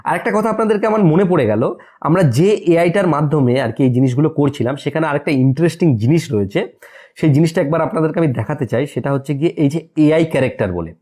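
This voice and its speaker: A man narrates a how-to screen tutorial at a very fast clip. His voice is a bit high with a soft, mellow tone. He instructs step by step, posing short questions and answering them himself. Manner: instructional, often asks and answers own questions. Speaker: tutorial presenter.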